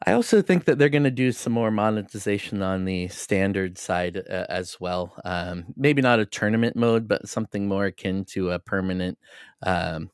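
A man with a low, smooth voice talks casually as a gaming podcast co-host. He is measured and thoughtful, and the sound is dry and close. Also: no echo.

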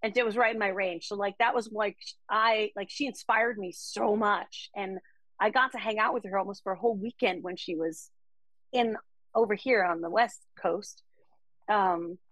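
A woman from Denmark talks through her music career with chatty enthusiasm. Her voice sits at an everyday middle pitch. The recording is close and dry. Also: a bit bright, dry recording. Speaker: country singer-songwriter guest. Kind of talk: casual interview conversation.

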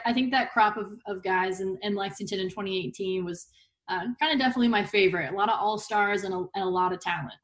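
Woman, from Texas, medium pitch, upbeat, conversational, sports broadcaster as podcast guest. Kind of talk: casual interview.

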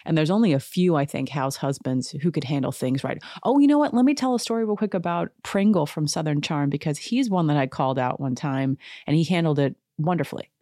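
Low-voiced sarcastic woman podcaster. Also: expressive, a little fast, hardly any pauses, dark tone, no room sound, studio quality. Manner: sarcastic, chatty, laughs often. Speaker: podcast host. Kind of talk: casual chat.

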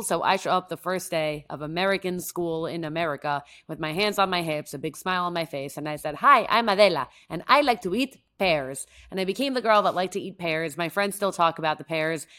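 A woman's voice: fairly low pitch, fairly bright tone. A young woman from Mexico co-hosting a podcast, telling stories in an upbeat, friendly way. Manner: upbeat storyteller, easy and friendly. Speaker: podcast co-host. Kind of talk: casual podcast chat.

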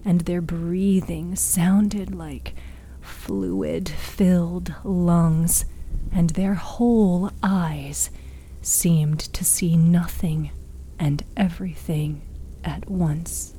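A woman narrating a dark story in a hushed, breathy, suspenseful, dramatic manner. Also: fairly low pitch, steady tone, slight background noise. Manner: slow, hushed, suspenseful delivery. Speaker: narrator and author of an audio fiction podcast.

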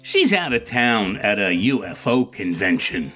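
A man's voice: on the low side, big pitch swings, unhurried, sharp tone, soft background music, heavily acted. Offbeat man with swooping intonation, acted out. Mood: droll, hesitant. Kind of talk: audio drama acting.

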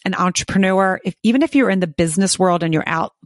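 Woman, podcast host, eager, chatty, lowish voice, dry close sound. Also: fast, dry recording. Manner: eager, chatty, talks in long run-on stretches. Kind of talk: casual interview podcast.

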